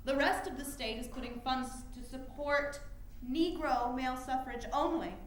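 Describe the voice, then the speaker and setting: Woman acting high-voiced, independent orator, echoing room. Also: fairly even intonation, normal speed, lightly acted. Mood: matter-of-fact. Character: Anna, independent, pragmatic young woman orator, coolly firm. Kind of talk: staged reading of a historical drama. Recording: very echoey room with faint noise.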